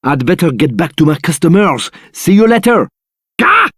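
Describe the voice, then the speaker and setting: A man's voice: a little high, very animated, normal speed, a bit bright, husky, no echo, studio quality, heavily acted. A man playing a nosy, easily shocked barman in a video game, with a theatrical, gossip-hungry manner. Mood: flustered. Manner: theatrical, gasping, eager for gossip. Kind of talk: video game dialogue acting.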